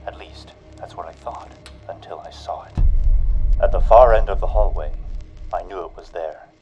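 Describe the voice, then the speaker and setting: An actor playing a 1940s radio announcer and narrator, booming through the sponsor spots, then telling his own night of terror in a hushed, tense voice. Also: normal speed, lo-fi sound, soft background music, lightly acted. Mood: hushed dread. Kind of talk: 1940s radio drama acting.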